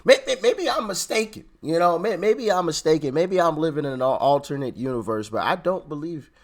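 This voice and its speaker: Male commentary host, hoarse, sarcastic, casual reaction delivery. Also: fairly high pitch, animated, brisk. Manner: sarcastic, laughing asides. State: hoarse, losing his voice. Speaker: political commentary YouTuber.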